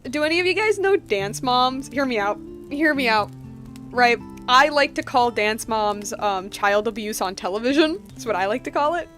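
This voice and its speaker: A young woman doing casual live game reactions, talking in an animated, slangy, rambling way as a streamer. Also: on the high side, moderate pace, soft background music.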